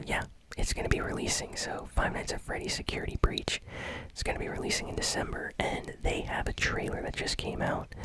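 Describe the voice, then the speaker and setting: A man whispering gaming news in a relaxed ASMR style, chatty and full of fillers. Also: rough recording. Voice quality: unvoiced whisper. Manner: casual whisper with many fillers.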